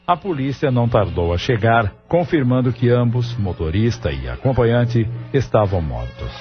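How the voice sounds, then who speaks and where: A man's voice: mid-range voice, very animated, moderate pace. A man reading scripted narration for an audio drama as its narrator. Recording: muffled studio narration over music.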